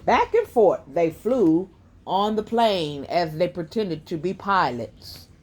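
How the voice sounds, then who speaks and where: A woman reading a children's picture book aloud as a bedtime story, in a deliberate storytelling manner. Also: fairly low pitch, very animated, darkish tone, slight background noise.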